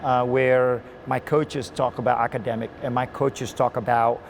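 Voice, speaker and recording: A man's voice: medium pitch, flat delivery, normal speed, gravelly, slight background noise. A man from Bali who coaches college swimming, chatting in a rambling way with many fillers and restarts. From Indonesia (Bali). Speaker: swim coach. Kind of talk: casual interview.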